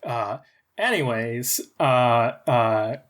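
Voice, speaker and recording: A woman's voice: very deep voice, drawn-out words. A woman playing a tabletop game, chatting casually in a hesitant way with many fillers. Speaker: player.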